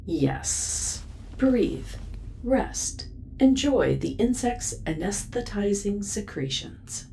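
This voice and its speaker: Casting: actress as imperious nonhuman mind, dark creaky voice, swooping melodic delivery, formal, coolly ominous. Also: female voice, mid-range voice, sing-song, extremely slow, slight background noise, heavily acted. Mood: eerily soothing. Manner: formal, detached, precise. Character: Charlotte, cool, imperious, ominous female inhuman intelligence. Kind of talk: audio drama acting.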